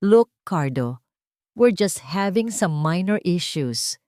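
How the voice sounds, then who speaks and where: An arrogant rich boss, as a woman narrator plays him, scornful and quick to anger. The voice swings widely in pitch and slips into English, in a dramatic audio story. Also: lively intonation, dark tone, no room sound, studio quality. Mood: placating. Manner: scornful, code-switching into English. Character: arrogant, irritable rich male business executive, dismissive and hot-tempered.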